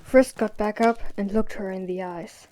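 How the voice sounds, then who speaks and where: A woman's voice: medium pitch, fairly even intonation, normal speed, mellow, rough recording. A woman narrating an audio drama in a scripted storytelling style, with music behind her.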